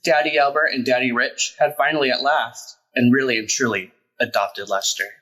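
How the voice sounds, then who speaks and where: A man reading a children's picture book aloud as a storyteller, in an expressive, deliberate way with emphasized words. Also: mid-range voice, poor audio. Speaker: storyteller, drag performer.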